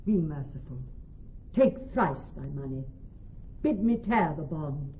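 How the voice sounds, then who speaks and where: A woman playing a clever young woman posing as a male judge in classical radio drama, speaking in a measured, formal, authoritative way. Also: low-pitched, animated, slightly rough, full character voice. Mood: grave, pleading. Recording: thin, narrow-band old radio broadcast.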